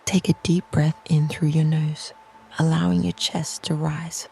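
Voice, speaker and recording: A meditation narrator speaking slowly and softly in a soothing, near-whispered delivery, reading a scripted guided visualization. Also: medium pitch, little pitch movement. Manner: slow, soft, soothing, breathy near-whisper phrasing.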